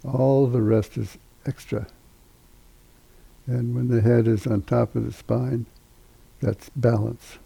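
Meditation teacher, older male, very dark mellow tone, husky, halting delivery with long pauses. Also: mid-range voice, flat delivery. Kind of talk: guided meditation instruction.

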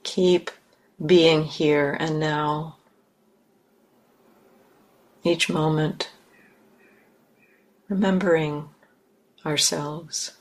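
A woman leading a guided meditation as a teacher, speaking in short, measured phrases with pauses between them. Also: deep voice, fairly even intonation, darkish tone, low-quality recording.

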